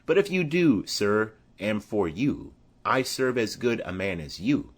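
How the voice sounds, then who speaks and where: A man's voice: lowish voice, sing-song, measured pace, breathy edge, heavily acted. A man playing a belligerent young servant, cocky and taunting, in a Shakespearean audiobook.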